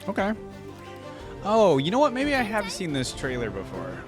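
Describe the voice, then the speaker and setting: A man's voice: high-pitched, huge pitch swings, moderate pace, music in the background. A man hosting a reaction video, commenting casually as he watches.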